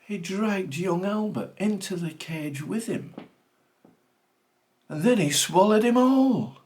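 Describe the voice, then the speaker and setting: Guy reciting funny poem, really slow n dramatic, voice goes up and down loads. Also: high voice, animated, a little mellow. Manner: dramatic, deliberate phrasing with swooping emphasis. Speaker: reader of a comic monologue poem. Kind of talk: theatrical comic verse recitation.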